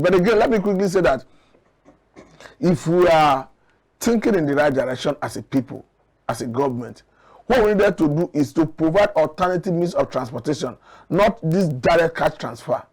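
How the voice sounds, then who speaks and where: A man with a Nigerian accent giving current affairs commentary as a guest analyst, measured and earnest as he argues his points. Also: fairly high pitch, darkish tone.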